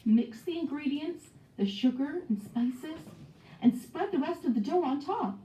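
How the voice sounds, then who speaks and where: Echoey, high-pitched woman reading a storybook. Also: measured pace. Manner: expressive, voices dialogue. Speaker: storybook read-aloud narrator. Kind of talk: scripted children's storybook narration. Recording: dull, echoey, distant microphone.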